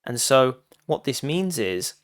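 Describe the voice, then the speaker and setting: Guy leading a guided meditation, really slow and deliberate w lots of pauses, dry sound. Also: mid-range voice, very animated, dry recording. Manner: deliberate phrasing with frequent pauses, addressing listeners as friends. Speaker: meditation teacher.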